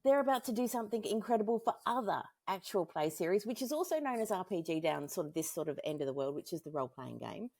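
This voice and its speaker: A woman's voice: on the low side, animated, fast, vocal fry, hardly any pauses, darkish tone, no room sound. A woman with an Australian accent interviewing someone in a casual, informal and self-deprecating way. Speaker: interviewer and indie fiction podcaster.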